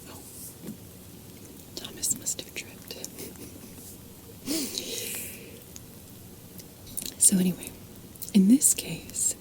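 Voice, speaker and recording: A woman whispering softly in an ASMR video, chatting and wandering off on tangents as she explains things. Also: medium pitch, low-quality recording. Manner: soft unvoiced whisper, chatty and digressive. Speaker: ASMR host.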